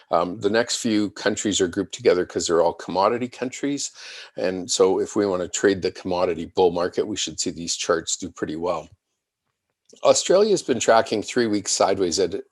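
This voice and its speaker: A man giving unscripted analyst commentary on charts, measured and explanatory, thinking aloud as he presents. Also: low voice, no room sound, clean studio sound. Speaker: financial market analyst presenting.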